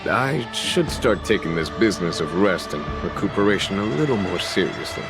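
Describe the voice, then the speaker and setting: A composed game general, low in pitch, courteous and gently wry. He speaks formally and diplomatically over clear background music. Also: lively intonation, normal speed. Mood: relaxed, wry. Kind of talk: video game voice acting. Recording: music behind the voice.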